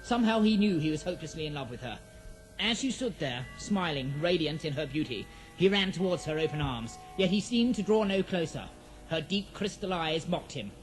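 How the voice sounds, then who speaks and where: A man narrating a scripted fantasy audio drama in a theatrical, measured storytelling manner. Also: on the high side, lively intonation, faint music behind, low-quality recording.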